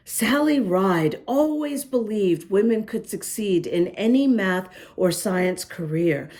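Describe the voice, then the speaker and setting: A middle-aged woman reading a picture book aloud to children, gentle and unhurried, stopping to comment on the pictures. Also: mid-range voice. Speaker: children's story reader.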